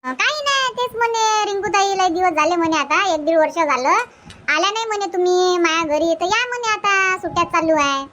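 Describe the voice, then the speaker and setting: A woman's voice: very high-pitched, lively intonation, normal speed, bright, forceful tone, a little hiss or hum, heavily acted. A woman voicing a chatty young married village daughter in an amateur cartoon comedy, with a rural Vidarbha Marathi accent. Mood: cheerful, chatty.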